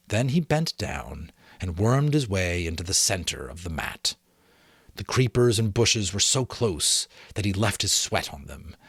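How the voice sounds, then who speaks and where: A middle-aged man with a fairly low voice narrates a novel as an amateur audiobook reader. His delivery is dramatic and expressive, with measured phrasing. The sound is close and dry, with very little room in it. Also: dry recording.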